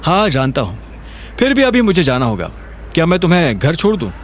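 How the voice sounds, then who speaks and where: A man playing an evasive young husband in an audio drama, hurried and full of quick excuses. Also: on the high side, huge pitch swings, a little hiss or hum. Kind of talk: Hindi audio drama character acting.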